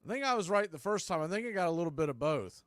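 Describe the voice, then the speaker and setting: Higher-pitched man calling baseball play-by-play, dry sound. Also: animated, racing, fairly bright tone, dry recording. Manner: homer fan, informal and colloquial. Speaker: baseball play-by-play announcer for a fan watch party. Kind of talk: live sports play-by-play commentary.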